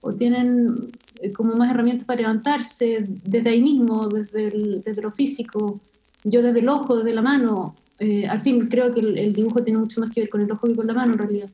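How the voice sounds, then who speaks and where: A woman from Chile with a Chilean Spanish accent, an illustrator speaking thoughtfully as an interview guest and pausing often with fillers. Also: fairly high pitch, fairly even intonation, warm tone, highs cut off. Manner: thoughtful, hesitant with many fillers. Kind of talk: casual interview conversation.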